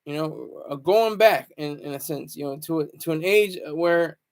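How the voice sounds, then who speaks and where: High-pitched man chatting casually on a livestream. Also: moderate pace. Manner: rambling, repeats phrases for emphasis. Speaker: livestream host. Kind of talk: casual livestream chat with viewers.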